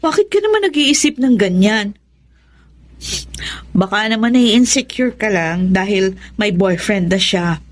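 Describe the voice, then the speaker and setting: A woman's voice: medium pitch, animated, measured pace, natural voice. An actress playing a playful young woman with a light, teasing manner in a Tagalog radio drama. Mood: concerned, teasing.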